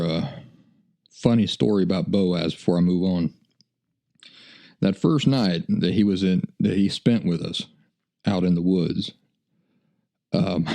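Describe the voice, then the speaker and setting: A man's voice: sits low, vocal fry, mellow. An American man hosting a podcast, telling stories in a folksy, self-deprecating way. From United States. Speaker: podcast host. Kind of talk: casual storytelling monologue.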